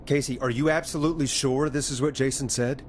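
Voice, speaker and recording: An actor plays a caring late-night radio man in a game drama. His pitch is average and his delivery earnest and measured. A little noise sits behind him. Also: steady tone, lightly acted. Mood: intent. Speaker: radio host.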